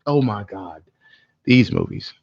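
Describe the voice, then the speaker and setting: Male podcast co-host: creaky voice, slangy, profane, opinionated, slightly dull but dry recording. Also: fairly low pitch, huge pitch swings, slow pace, dry recording. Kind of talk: casual film discussion.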